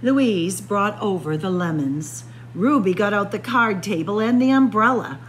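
Expressive, unhurried woman reading to children. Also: mid-range voice, a little hiss or hum, low-quality recording. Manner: expressive, deliberate, addressing young listeners as friends. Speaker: librarian storyteller. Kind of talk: picture book read-aloud for children. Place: indoor library room with bookshelves.

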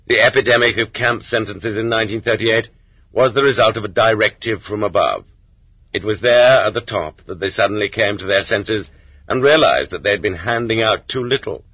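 Deep-voiced man reading an audiobook. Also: breathy edge, dry recording. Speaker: audiobook narrator. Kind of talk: scripted audiobook narration of literary nonfiction. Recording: dull, lo-fi cassette audiobook transfer.

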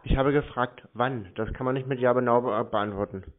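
A man's voice: mid-range voice, normal speed. A man on a phone call, answering tersely and challenging the caller with questions. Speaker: prank call recipient baiting telemarketers. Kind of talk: phone conversation. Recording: noisy, tinny phone-like sound.

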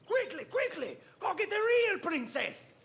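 A grandiose cartoon knight, hopelessly romantic and deluded. The voice is very high and gravelly, drawn out slowly with theatrical flourish. He declaims every phrase as if leading a heroic quest. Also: very animated, fairly bright tone, a little hiss or hum, exaggerated voice. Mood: lovestruck, haughty. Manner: bombastic, theatrical, florid.

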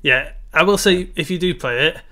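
A man in his thirties co-hosting a podcast over a video call, giving quick, assured opinions. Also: a little high, pushed and bright. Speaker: podcast co-host. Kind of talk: casual chat. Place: home room, video call.

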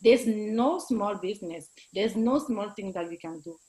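A woman's voice: mid-range voice, measured pace, a little mellow. A middle-aged woman whose first language is French, giving an emphatic motivational talk on a video call from a room at home. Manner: emphatic, gestures a lot, exhorting. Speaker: guest speaker, entrepreneur. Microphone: video call webcam.

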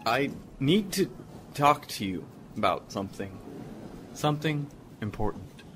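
A man's voice: mid-range voice, big pitch swings, unhurried, fairly bright tone. Guy doing nervous young son in audio drama, mid voice, noise in the background. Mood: nervous, hesitant. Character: nervous, hesitant son working up courage.

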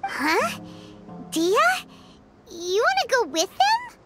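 Tiny squeaky fairy girl sidekick for a game, super high and bouncy, childlike. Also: wildly swooping pitch, slow, over loud music, exaggerated voice. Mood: surprised. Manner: refers to herself by name, childlike. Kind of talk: video game dialogue.

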